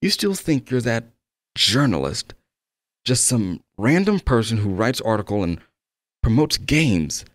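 Audio roleplay lead: male actor as unhinged, devoted young man, unhurried, pleading, close and dry sound. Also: medium pitch, big pitch swings, no echo. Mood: coaxing, obsessive. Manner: tender, pleading, fervent. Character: obsessed, lovesick young male game developer, possessive and unhinged. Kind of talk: audio roleplay, intimate monologue to the listener.

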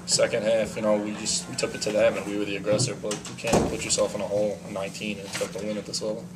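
Flat, fast-talking young player. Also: male voice, lowish voice. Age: young adult. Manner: relaxed, leans on "you know". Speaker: college basketball player. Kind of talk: postgame press conference answers. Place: small press room. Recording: mic at a distance, noisy room.

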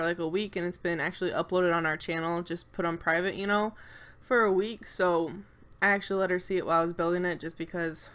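A woman's voice: lowish voice, a bit bright, smooth. A young woman with a congested, stuffed-up nose, talking casually and nervously over a game build at home, rambling with lots of fillers. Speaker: channel co-host.

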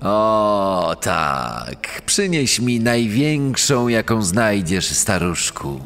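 An actor playing a smug, vain condemned murderer of about forty, speaking in a measured, self-assured, commanding way for a true-crime podcast dramatisation. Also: male voice, lowish voice, sing-song, fairly bright tone, full character voice. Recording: long reverb over music. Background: music.

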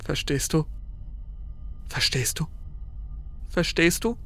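A man acts out a disturbed contestant lost in the wilderness in a horror audio play. He speaks slowly in abrupt, repetitive fragments. His voice is tense and raspy, with music underneath. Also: fairly high pitch, animated, bright, forceful tone, full character voice. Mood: desperate, insistent. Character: disturbed, shaky male contestant stranded in wilderness. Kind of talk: German horror audio drama acting.

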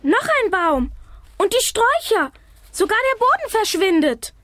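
A woman's voice: extremely high pitch, big pitch swings, normal speed, over music. A woman playing a frightened, anxious young boy in a German children's audio drama. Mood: panicked, pleading.

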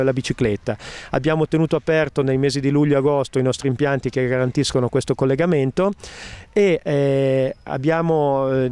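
A middle-aged man, a ski resort company president, answering questions outdoors on a snowy slope into a handheld mic, measured and hesitant with many filler pauses. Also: mid-range voice, a little mellow, clear, resonant tone, no echo. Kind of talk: outdoor TV interview. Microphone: handheld mic held by interviewer.

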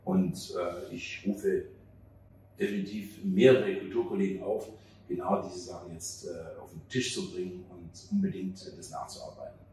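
A man in his fifties gives conversational interview answers, pausing often to find his words. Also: low-pitched, flat delivery, mellow. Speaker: theater director being interviewed. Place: outdoor café table on a street at night. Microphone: handheld interview mic held by interviewer. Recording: very roomy, distant mic, faint ambient noise.

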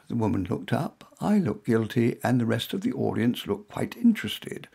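A man's voice: mid-range voice, creaky, a little mellow, husky. An English man reading his own humorous first-person short story in a wry, droll way, with conversational asides.

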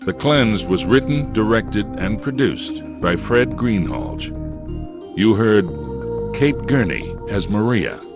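A man's voice: lowish voice, sing-song, slow pace, gravelly. A man reading scripted credits as the announcer of a radio drama. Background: music.

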